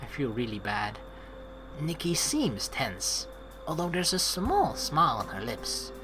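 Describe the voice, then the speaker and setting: Female let's player, low register, forceful and highly animated, casual playful commentary and read-aloud over game music. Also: measured pace. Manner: expressive, playful, swearing. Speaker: let's play host.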